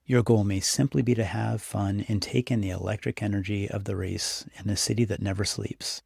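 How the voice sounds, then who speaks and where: A man with a low, gravelly voice leads a guided visualization in a close, dry recording. He addresses the listener directly, giving instructions and encouragement in an unhurried, scripted delivery. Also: little pitch movement, a little mellow, dry recording. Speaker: meditation guide. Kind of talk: guided meditation, scripted narration.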